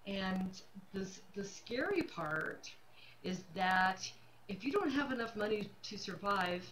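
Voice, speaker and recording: Woman, late fifties, casual livestream talk, candid, emphatic repetition, medium pitch, faint room noise. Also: rough recording. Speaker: livestream host, self-employed realtor. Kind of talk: casual personal talk to camera.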